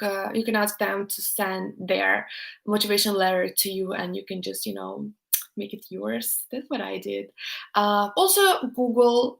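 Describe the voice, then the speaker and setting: A woman's voice: mid-range voice, vocal fry, a bit bright. A young woman in her twenties with a Russian accent, chatting casually on a livestream and answering viewer questions with many fillers and restarts.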